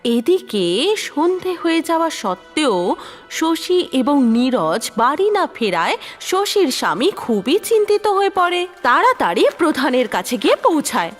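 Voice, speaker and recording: A woman's voice: sits high, big pitch swings, a little slow, soft background music. A woman narrating a scripted cartoon fairy tale as storyteller.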